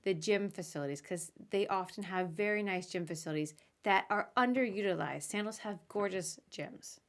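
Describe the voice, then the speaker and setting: A woman's voice: medium pitch, moderate pace. A woman hosting a casual conversational podcast interview, chatty and informal, often slipping in asides. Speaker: podcast host interviewing a guest.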